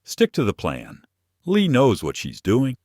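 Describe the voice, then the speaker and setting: A man's voice: mid-range voice, wildly swooping pitch, measured pace, a little mellow, dry recording, studio quality, voice pushed up. Man voicing a pragmatic, firm male advisor. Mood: firm, cautioning.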